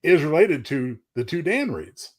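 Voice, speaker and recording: A middle-aged or older man with a husky voice chats casually as a co-host. His pitch sits a little high and moves around in a lively way. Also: unhurried. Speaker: co-host of a retro radio show. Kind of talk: casual chat, brief reactions.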